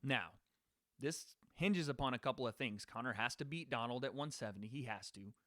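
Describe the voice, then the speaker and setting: A man's voice: mid-range voice, a little fast, sharp tone, no room sound. Male stream host, casual rambler, chats with his viewers, mid voice, dry sound. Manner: casual, rambling, talks to live chat. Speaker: podcast host. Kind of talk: live-stream sports predictions.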